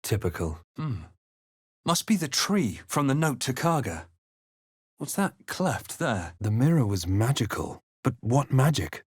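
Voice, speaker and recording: Wry, composed game adventurer, dry close recording. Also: male voice, medium pitch, very animated, breathy edge, no echo. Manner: measured, wry, literary storytelling. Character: player adventurer hero, male, composed, wry storyteller. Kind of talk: scripted video game narration and battle lines.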